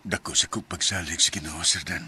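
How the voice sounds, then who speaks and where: A deep, humble, grateful father. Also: fairly even intonation, brisk, sharp tone, slight background noise. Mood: resigned, humble. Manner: humble, deferential, earnest. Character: grateful, humble, devout father recovering from illness. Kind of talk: radio drama acting in Cebuano.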